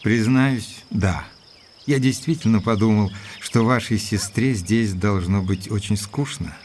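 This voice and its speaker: Man playing an earnest gentlemanly doctor, lowish voice. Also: slight background noise, close to an everyday voice. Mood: polite. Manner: courteous, measured, earnest. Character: earnest gentlemanly doctor, loyal and cautious, narrating. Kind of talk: audio drama narration and dialogue.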